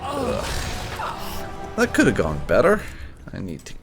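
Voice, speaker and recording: A man hosts a gameplay video in casual, wry, sweary commentary. His delivery swings widely in pitch and moves at a relaxed, slow pace. Faint music plays behind him. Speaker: gaming video host.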